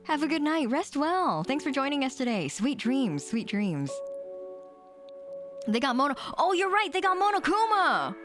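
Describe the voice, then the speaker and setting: A woman's voice: sits high, big pitch swings, moderate pace, fairly bright tone, soft background music. A woman streamer chatting casually with viewers as she plays a visual novel, in a playful, teasing manner. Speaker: VTuber streamer. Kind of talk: casual livestream chat and visual novel read-aloud.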